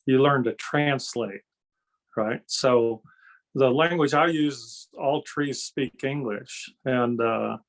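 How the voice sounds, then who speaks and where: A man's voice: medium pitch, slow pace, rough recording. An older man speaking as an interview guest, explaining and telling anecdotes in a folksy, conversational way with frequent check-in tags. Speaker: interview guest, energy healer and kung fu master.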